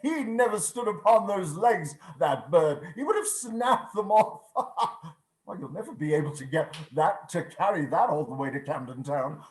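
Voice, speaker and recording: An elderly reformed miser acted by a man bursts with giddy generosity in a radio play. The voice is high and very animated, repeating phrases with exclamatory delight, over a roomy, echoing call. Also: moderate pace, close to an everyday voice. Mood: giddy, generous. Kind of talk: theatrical radio play reading. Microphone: video call.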